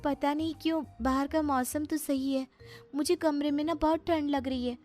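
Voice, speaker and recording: A woman's voice: sits high, steady tone, normal speed, a little mellow, soft background music, voice pushed up, natural voice. A woman voicing a naive, earnest young village daughter-in-law in a cartoon story, simple and polite to her elders. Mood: innocent, earnest. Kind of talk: Hindi cartoon storybook dialogue.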